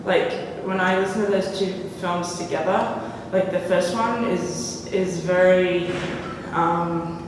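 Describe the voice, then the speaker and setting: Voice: medium pitch, fairly even intonation, takes it slowly. Rambling audience questioner, far off in a hall. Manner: rambling, self-rephrasing question. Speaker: audience member asking a question. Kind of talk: public Q&A question. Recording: echoey hall from far off, audible room noise.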